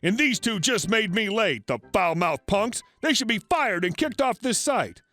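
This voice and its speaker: Entitled angry woman, male narrator acting her out: shrill high pitch, bossy, abusive, indignant, for a story reading. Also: big pitch swings, normal speed, soft background music, exaggerated voice. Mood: indignant, haughty. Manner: demanding, shouting, indignant.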